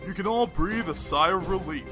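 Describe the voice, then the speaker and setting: A man's voice: high voice, normal speed, natural voice. A man playing a male television news anchor reading a special bulletin in a formal newsreader manner, with music beneath. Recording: music underneath.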